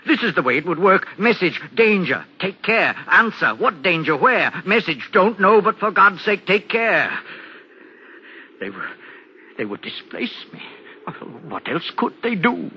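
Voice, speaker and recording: An actor playing a troubled, earnest railway signalman in an old radio drama, agitated and halting, brooding with dread. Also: sits high, sing-song, bright, forceful tone, breathy edge, full character voice. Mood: subdued, shaken. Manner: agitated, halting, brooding over a nameless danger. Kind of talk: 1940s radio drama acting. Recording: dull, noisy old radio broadcast.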